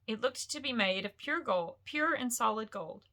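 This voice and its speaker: A woman reading a fantasy novel aloud as an expressive storyteller, with deliberate, dramatic phrasing. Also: on the high side.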